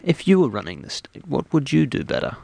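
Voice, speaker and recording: A man hosting comic radio banter, his voice hoarse from illness. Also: medium pitch, sing-song, a little fast, a little mellow, no echo. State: hoarse, ill. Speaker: radio show host. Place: radio studio.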